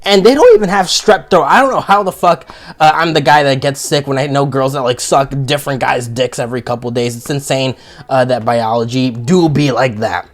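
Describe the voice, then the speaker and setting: Male reviewer, hoarse and froggy from illness, crude and blunt, ordinary mid-range pitch. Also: a little fast. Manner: blunt and profane. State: sick with a sore throat, froggy. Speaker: video reviewer. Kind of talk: casual comedic review monologue.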